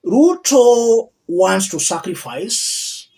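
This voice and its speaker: A man with a Kenyan accent giving political commentary to viewers, emphatic and deliberate, repeating key phrases for weight. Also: high voice, sing-song, warm tone. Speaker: political commentator, channel host.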